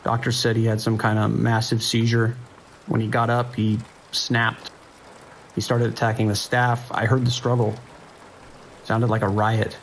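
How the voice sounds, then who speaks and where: A man acts out an anxious male police dispatcher for an audio drama. His gravelly voice sits at a middling pitch, giving clipped, urgent radio instructions and hesitating when shaken. Faint rain and ambience run behind him. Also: monotone, unhurried, a little hiss or hum, lightly acted, poor audio.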